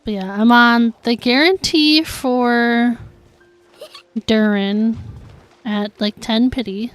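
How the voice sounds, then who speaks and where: Girl streamer w a highish voice, just chatting away with viewers while gaming, super informal. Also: slow pace. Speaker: video game streamer. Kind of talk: casual livestream chat while gaming.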